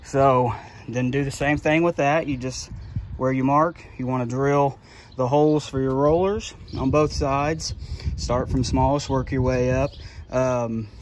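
A man's voice: medium pitch, a little mellow, slight background noise. A middle-aged man giving a casual, plain-spoken how-to walkthrough outdoors on a handheld phone. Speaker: YouTube channel host, trucking business owner. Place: outdoors beside a trailer. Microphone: handheld phone at arm's length.

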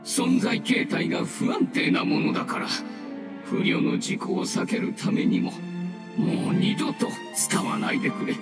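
An actor playing an aged, dying mushroom spirit in a game, solemn and humble, with grave, halting, old-fashioned elder speech. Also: male voice, very high voice, lively intonation, creaky, full character voice. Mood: grave, explaining. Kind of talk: scripted game dialogue. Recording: roomy echo, distant, under loud music.

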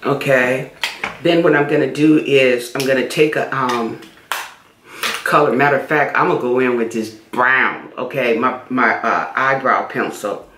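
A woman's voice: a bass voice, a little slow, a bit bright, reverberant, slight background noise. A middle-aged woman chatting casually while doing her makeup for a beauty vlog, repeating herself as she goes. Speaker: beauty vlogger. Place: small room indoors.

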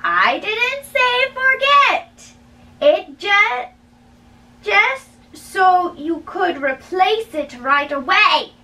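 A woman's voice: very high-pitched, big pitch swings, bright, forceful tone, pitched above the performer's own voice, exaggerated voice. A young woman playing an exasperated, wise older holy man who scolds emphatically in a dramatic play reading. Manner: emphatic, drawn-out admonishing. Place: small bedroom, indoors. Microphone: camera a few feet away. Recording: distant mic in a bedroom.

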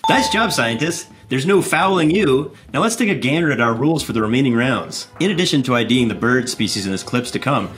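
A man's voice: medium pitch, expressive, a little fast, hardly any pauses, a bit bright. A man in his thirties hosting a scripted game show in a playful, punning manner.

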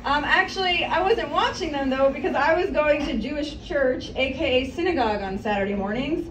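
A woman's voice: high voice, a little fast. A woman in her thirties doing a stand-up comedy set, chatty and self-deprecating with rambling asides, on a small café stage. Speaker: stand-up comic. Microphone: mic on stand, filmed from across the room. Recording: roomy, distant, noisy café recording.

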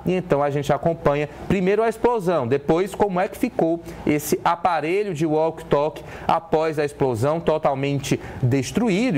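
A man's voice: on the high side, darkish tone, slight background noise. A man in his thirties with a Brazilian accent presenting live news from a studio, explaining the story as he goes. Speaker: news anchor. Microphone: lapel mic.

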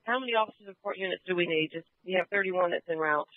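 A male police dispatcher with a high-pitched voice talks briskly in short procedural phrases. He comes through a thin, narrow radio channel. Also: no room sound. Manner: clipped, procedural. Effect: police radio transmission. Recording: band-limited police radio audio.

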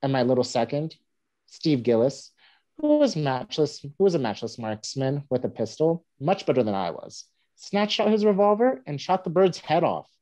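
Woman reading as a low-voiced, wry newspaperman storyteller. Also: sing-song, moderate pace, dark tone, poor audio. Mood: wry storytelling. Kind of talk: staged play reading, storytelling monologue. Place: home room on a group video call.

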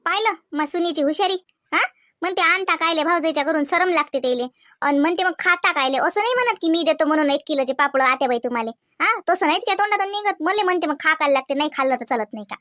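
Woman acting out an elderly mother-in-law, Vidarbha Marathi accent, proud, complaining, bright dry cartoon voice. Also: very high-pitched, lively intonation, quick pace, no room sound. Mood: indignant. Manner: colloquial, complaining, rhetorical questions. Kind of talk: cartoon comedy dialogue.

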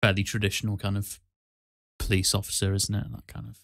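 A man's voice: on the low side, level tone, fairly quick, vocal fry, fairly bright tone, dry recording, studio quality. A man hosting a podcast in casual, wry, joking chat. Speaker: podcast host.

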